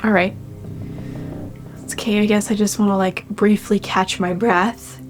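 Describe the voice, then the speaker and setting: Woman, tabletop game player, casual chat, tentative questioning, mid-range pitch, clear background music. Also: level tone, unhurried.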